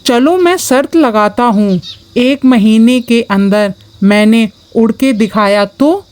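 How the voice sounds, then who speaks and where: A woman's voice: on the high side, slow pace, a little mellow. A woman voicing a young male horse, wishful and boastfully determined, in a children's cartoon story.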